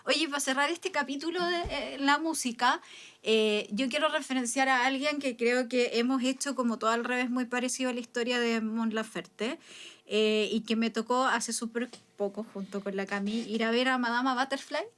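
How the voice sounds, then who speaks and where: A woman's voice: on the high side, fairly even intonation, moderate pace, a bit bright. A Chilean woman co-hosting a podcast, chatting casually and speaking with enthusiastic emphasis. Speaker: podcast co-host. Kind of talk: casual chat.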